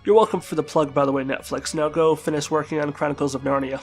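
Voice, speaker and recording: A man with a congested, flu-struck voice reads a scripted video game review as the channel's host. His pitch sits a little high and his phrasing is formal and wordy. Faint music plays behind him. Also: flat delivery, fast talker, darkish tone. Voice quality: stuffed-up, congested. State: sick with a flu-like bug.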